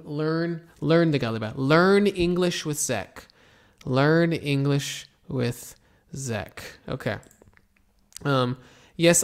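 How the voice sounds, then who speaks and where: Young American teacher from Florida, laid-back Q&A delivery, a little fry in the voice. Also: male voice, on the high side. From Florida, USA. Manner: relaxed, rambling, many ums and restarts. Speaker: English teacher answering viewer questions. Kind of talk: casual Q&A, reading comments aloud.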